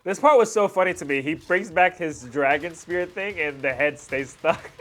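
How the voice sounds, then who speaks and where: A man's voice: fairly high pitch, moderate pace, fairly bright tone. A man in his thirties giving casual reaction commentary as a video host, talking like an enthusiastic fan with slangy asides.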